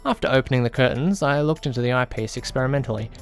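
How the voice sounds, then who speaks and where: A man's voice: mid-range voice, fast. A man reading a scripted first-person story as an audiobook narrator. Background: background music.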